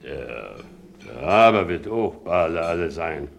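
Casting: earthy, grumbling stable worker, radio play, fairly deep, slight background noise. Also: male voice, sing-song, slow pace, gravelly, lo-fi sound, heavily acted. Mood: dry, grumbling. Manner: dry, rustic, terse. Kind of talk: stage-style German radio drama acting.

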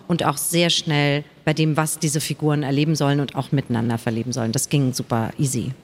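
A woman's voice: low-pitched, fairly even intonation, fairly quick. A woman author talking casually and colloquially in a panel discussion.

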